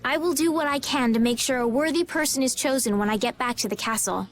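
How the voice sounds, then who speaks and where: A woman plays a sincere young princess in a video game. Her voice is fairly high, gentle and formally polite. Faint music sits behind it. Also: quick pace, natural voice. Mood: earnest.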